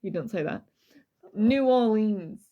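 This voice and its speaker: A woman's voice: medium pitch, animated, slow, muffled recording. A woman co-hosting a true crime podcast, switching between dramatic reading and casual, irreverent banter. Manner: playful, irreverent, swears casually.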